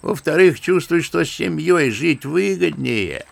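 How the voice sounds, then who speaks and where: Gravelly old grandfather, wryly bitter. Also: on the high side, expressive, a little slow, no room sound, exaggerated voice. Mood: wry, lecturing. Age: older. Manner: theatrical storytelling, mimicking others, savouring words. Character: embittered, talkative old grandfather, an old-school Russian gentleman. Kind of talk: audio drama acting of a literary story.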